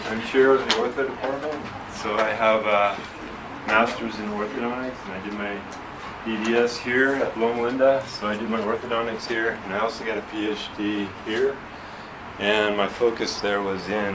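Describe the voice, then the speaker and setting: A soft-spoken middle-aged man giving an informal classroom lecture, asking the room questions as he goes. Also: lowish voice. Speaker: orthodontics lecturer. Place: lecture hall. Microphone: room mic from a distance. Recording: echoey, distant, noisy room recording.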